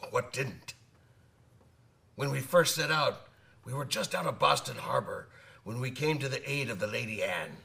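A man's voice: medium pitch, moderate pace, creaky, long pauses, pushed and bright. An actor in a radio drama playing a hearty old one-eyed sailor who spins boastful yarns in folksy nautical talk, repeating his phrases. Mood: grim, storytelling. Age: older.

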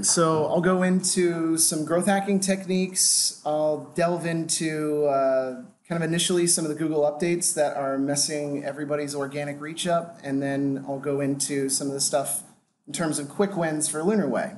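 A man's voice: on the high side, fairly even intonation, moderate pace, a little hiss or hum. An American man from Washington, D.C., giving a casual, filler-heavy lecture on marketing to a live audience. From Washington, D.C., USA. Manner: casual, loose, frequent "uh" fillers. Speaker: guest lecturer on growth hacking. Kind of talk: conference talk to a live audience.

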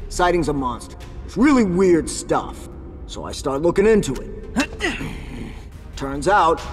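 Brash news photographer, game cutscene. Lively intonation, slow pace, eager and cocky, music behind. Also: male voice, on the high side, big-room sound. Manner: brash, self-assured. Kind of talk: video game cutscene acting.

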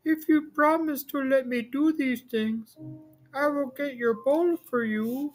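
A woman voicing a small, ugly male frog in a children's storybook, talking very high, slow and drawn out. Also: faint music behind. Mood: pleading.

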